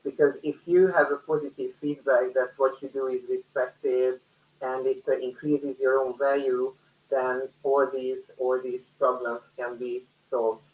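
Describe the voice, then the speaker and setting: A man speaking earnestly in an unscripted discussion, halting and repeating his words as he goes. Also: medium pitch, level tone. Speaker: panel speaker. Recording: echoey, dull, distant-microphone call audio.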